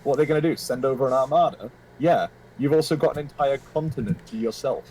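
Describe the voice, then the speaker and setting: A man's voice: mid-range voice, fast talker, warm tone, slight background noise, low-quality recording. A British man with a London-ish accent, chatting casually and bantering with plenty of swearing. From Britain. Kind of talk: casual chat.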